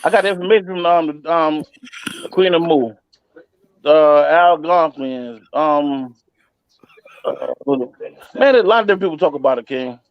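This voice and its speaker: A man's voice: on the high side, normal speed, pauses often, no room sound, low-quality recording. A man in a casual, argumentative discussion, speaking colloquially and insistently and repeating himself.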